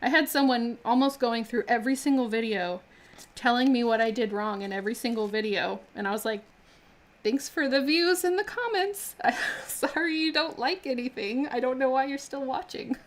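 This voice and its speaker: Woman, slightly high voice, casual live-stream chat, frequent laughs, lots of ums, crafting at home. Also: normal speed. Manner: chatty, laughs often, many fillers and restarts. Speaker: miniature artist streaming live.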